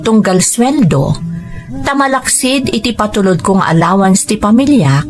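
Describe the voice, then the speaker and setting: An actress playing a dutiful, hardworking sister working overseas, with an Ilocano accent, in a first-person audio drama. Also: female voice, mid-range voice, a little mellow, close to an everyday voice. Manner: earnest, reflective. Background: faint music.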